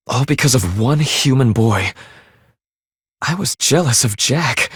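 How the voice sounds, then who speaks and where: An earnest, formal game hero, clean recording. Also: male voice, medium pitch, slightly rough, dry recording, clean studio sound. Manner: formal, measured, solemn phrasing. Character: earnest, formal, remorseful man, a devoted lost husband. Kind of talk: dramatic English video game voice acting.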